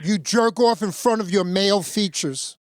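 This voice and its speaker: A man's voice: high voice, moderate pace, no echo, clean studio sound. A man calling in to a comedy podcast, taunting and mocking the host. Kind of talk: mocking call-in banter.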